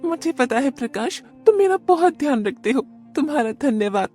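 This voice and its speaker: Woman playing a high-pitched, complaining young wife. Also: lively intonation, moderate pace, music in the background. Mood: coy, self-pitying. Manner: complaining, self-pitying. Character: vain, greedy, discontented young wife. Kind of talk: storybook cartoon dialogue.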